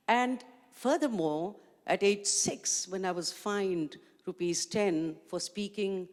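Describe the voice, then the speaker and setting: A woman's voice: mid-range voice, slow. A middle-aged woman from Pakistan whose first language is Urdu, speaking as a panelist in a panel discussion with reflective storytelling. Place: large conference hall stage. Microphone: handheld mic. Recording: long hall reverb.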